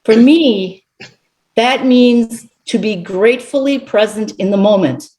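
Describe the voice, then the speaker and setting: A middle-aged woman giving a reflective sermon to a congregation as a guest speaker, with measured, contemplative phrasing. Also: medium pitch, a little mellow. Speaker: guest speaker at a church service.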